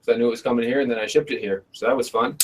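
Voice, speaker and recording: A Canadian man co-hosting a casual live show, rambling along in a relaxed, joking way. His voice sits in a middle register with a faint hiss behind it. He was recorded by a distant camera in a small bedroom. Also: medium pitch, racing. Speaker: podcast co-host. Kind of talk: casual chat about shooting.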